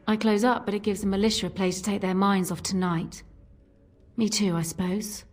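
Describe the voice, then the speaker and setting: An actress playing a wry, down-to-earth village barmaid in a video game, plain-spoken. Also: female voice, mid-range voice, steady tone, normal speed, natural voice. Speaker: game character, tavern worker. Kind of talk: scripted video game dialogue.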